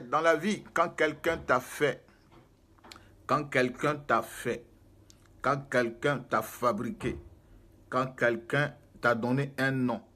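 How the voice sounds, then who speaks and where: A man's voice: medium pitch, pushed and bright. A man, preaching to a camera in a small room, emphatic and repetitive, gesturing as he speaks. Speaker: prophet and spiritual guide.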